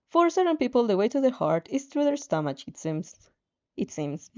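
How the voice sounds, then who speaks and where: A woman's voice: mid-range voice, dark tone. A woman reading a story aloud expressively as narrator, with casual reactions, on a slightly dull, lo-fi recording. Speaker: fan fiction narrator.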